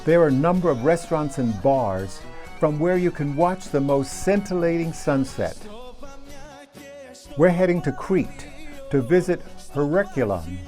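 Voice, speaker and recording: A man narrating a travel show in a measured, descriptive scripted voice-over. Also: on the high side, warm tone.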